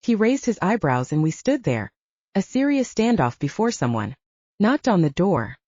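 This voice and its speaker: A woman's voice: mid-range voice, big pitch swings, moderate pace, a little mellow, dry recording, dull audio. A woman reading a scripted first-person story as an audiobook narrator.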